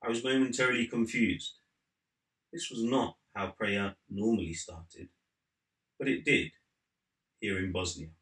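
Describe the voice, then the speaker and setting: A man in his forties, an author reading from his own book as measured literary narration in a living room. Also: on the low side, a bit bright. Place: living room at home, seated in an armchair. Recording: slightly roomy indoor sound.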